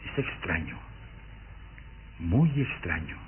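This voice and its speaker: An actor playing an earnest, suspicious police inspector in a radio drama, questioning dramatically and with nervous resolve. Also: male voice, deep voice, huge pitch swings, takes it slowly, slight background noise, heavily acted. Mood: suspicious, formal. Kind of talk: old Latin American radio drama acting. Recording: muffled old radio recording with music.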